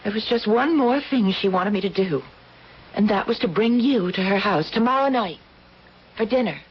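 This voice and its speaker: A woman's voice: mid-range voice, expressive, normal speed, husky. An actress playing a timid, honest young working woman in a radio drama, hesitant, earnest and defensive. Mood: uneasy. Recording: muffled, hissy old radio drama recording.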